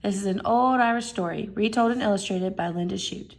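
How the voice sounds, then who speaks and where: A woman reading a children's storybook aloud in expressive narration. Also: medium pitch, brisk, gravelly. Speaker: storybook reader.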